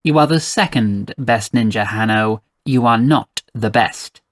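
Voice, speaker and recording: A man's voice: mid-range voice, measured pace, a bit bright, lightly acted. A man voicing a haughty, stingy queen with an imperious, clipped way of bargaining, in a storybook reading. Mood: haughty, dismissive. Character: haughty, stingy, commanding queen, mother of a child.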